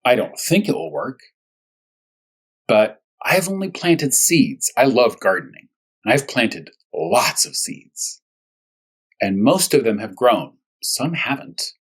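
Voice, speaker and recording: A man speaking as a first grade teacher at storytime, talking to young children in simple, short, repeated phrases, with a husky voice. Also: mid-range voice, big pitch swings, slow pace. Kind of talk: storytime read-aloud and discussion for young children.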